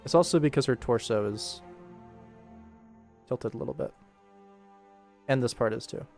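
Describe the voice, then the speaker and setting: A man's voice: mid-range voice, moderate pace, darkish tone. Male streamer and animator, informal and rambling, thinks aloud as he works, mid-range voice with music underneath. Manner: informal, rambling to himself and viewers. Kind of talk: casual work-stream commentary, thinking aloud.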